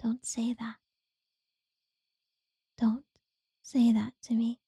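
A woman voicing an obsessive, possessive captor in a whispered audio roleplay, slow and unsettlingly sweet. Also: on the high side, level tone, no echo, studio quality, exaggerated voice. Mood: creepy tender. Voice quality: soft breathy whisper.

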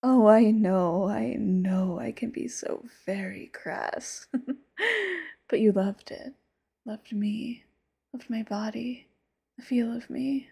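A woman's voice: medium pitch, fairly even intonation, slow, heavily acted. A woman voicing an obsessive, possessive immortal lover in a whispered audio roleplay, sweetly menacing. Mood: teasing whisper. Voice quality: soft breathy whisper. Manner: intimate, sweetly menacing, sudden flares.

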